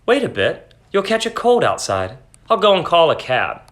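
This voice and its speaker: An earnest young husband, urgent and worried, talking in a bright, slightly raised voice, as a male reader plays him. He sounds practical and steady under the strain. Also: sits high, moderate pace, exaggerated voice. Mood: gentle.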